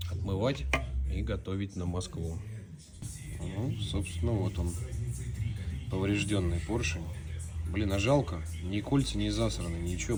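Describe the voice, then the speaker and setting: A hoarse male mechanic casually walking through an engine teardown in a repair garage, matter-of-fact and a little rueful. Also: fairly low pitch. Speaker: auto mechanic, channel host. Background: music.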